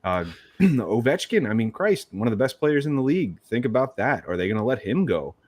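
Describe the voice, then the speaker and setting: A man's voice: mid-range voice, sing-song, a little fast, a little mellow, no room sound. A man co-hosting a sports podcast, talking in a rambling, exasperated, emphatic way. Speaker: podcast co-host. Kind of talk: casual sports chat.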